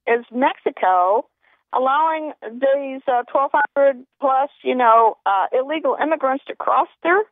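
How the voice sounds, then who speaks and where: A woman calling in to a radio show, speaking in a hesitant, halting way. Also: sits high, expressive, dry recording. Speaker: caller. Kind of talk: call-in radio talk. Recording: tinny phone line.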